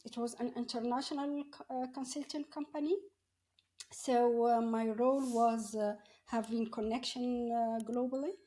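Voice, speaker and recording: A middle-aged woman tells her personal story in an interview, often halting and searching for words. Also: fairly high pitch, fairly even intonation, dark tone. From Morocco. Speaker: podcast guest, coach.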